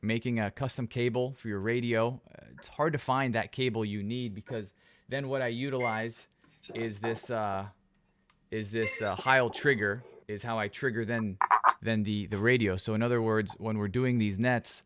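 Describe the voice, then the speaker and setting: A man's voice: lowish voice, fairly even intonation, moderate pace, noisy background. A man on a video call, chatting with enthusiasm and many fillers about linking radio to live streams. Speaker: ham radio operator who streams nets. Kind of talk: casual video call chat.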